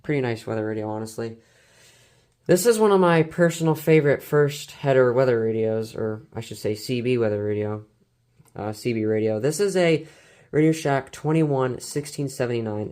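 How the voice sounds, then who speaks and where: A man's voice: mid-range voice, darkish tone. A man giving a casual, rambling vlog-style showcase as an enthusiastic collector, often stumbling over his words. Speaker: collector showing weather radios and scanners.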